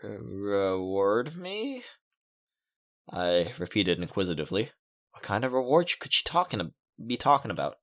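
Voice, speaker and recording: A man's voice: lowish voice, very animated, a little fast, fairly bright tone. A man hosting a let's play, reading a visual novel aloud and adding casual commentary, with music underneath.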